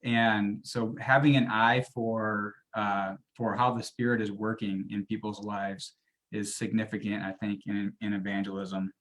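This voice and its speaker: A man, a campus minister, speaking in a thoughtful, soft-spoken way that trails off at the end of phrases. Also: lowish voice, level tone, unhurried, poor audio. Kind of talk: panel discussion.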